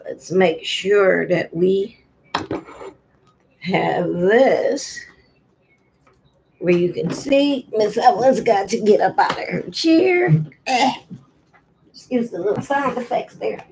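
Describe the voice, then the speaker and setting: A middle-aged woman giving a deliberate demonstration for parents of babies, sometimes in singsong baby talk, recorded at home on a webcam microphone. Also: mid-range voice, expressive, gravelly, a little mellow, a little hiss or hum, poor audio. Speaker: early childhood teacher, host of infant read-along. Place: room at home.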